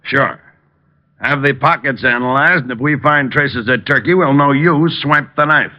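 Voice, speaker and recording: An actor playing a stolid police lieutenant in an old radio crime drama, blunt and deadpan with an official manner. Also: male voice, mid-range voice, normal speed, close to an everyday voice. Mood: official, firm. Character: dry, stolid male police homicide lieutenant. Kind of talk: 1940s-50s radio crime drama acting. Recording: muffled old radio broadcast.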